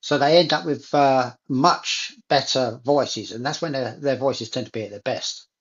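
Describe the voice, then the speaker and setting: A man's voice: mid-range voice, moderate pace. Man chatting casually through dull lo-fi audio. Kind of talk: casual chat.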